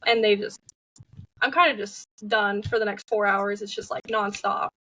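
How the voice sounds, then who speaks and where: A woman's voice: medium pitch, fairly even intonation, moderate pace, pushed and bright, far from the mic, rough recording. A young woman speaking as an interview guest, candid and open with frequent fillers. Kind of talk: personal interview talk.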